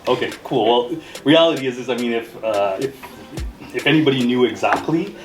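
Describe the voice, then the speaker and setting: A man's voice: medium pitch, brisk. A man from Trinidad who grew up in Canada, giving earnest, rambling interview answers peppered with "you know" and "right?". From born in Trinidad, raised in Canada from age eight. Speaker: interview guest, mortgage brokerage owner. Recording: roomy echo, music playing behind. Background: music.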